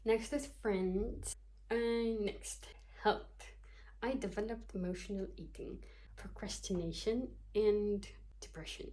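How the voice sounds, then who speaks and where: Young woman from Kyrgyzstan, casual vlog chat, self-mocking, reading from notes, mid-pitched voice. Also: steady tone, slow, warm tone. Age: 20s. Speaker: vlogger, psychology student. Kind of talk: casual talking-to-camera vlog.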